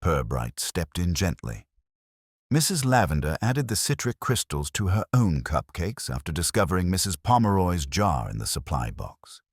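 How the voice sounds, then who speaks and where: A man's voice: low-pitched, sing-song, normal speed, breathy edge, dry recording, clean studio sound. A man giving scripted narration of a village mystery story.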